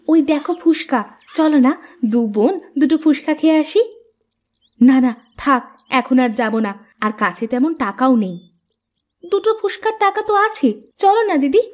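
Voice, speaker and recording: A woman playing a put-upon young daughter-in-law in a cartoon, expressive and sharp-tongued, prone to sarcastic complaint. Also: sits high, dull audio. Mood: eager. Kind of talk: cartoon voice acting, fairy-tale drama.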